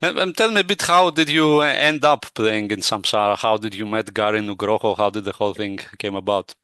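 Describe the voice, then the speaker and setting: A man's voice: on the low side, brisk, sharp tone, smooth, no room sound. A man hosting a film interview, asking short questions and giving brief acknowledgments. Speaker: interview host.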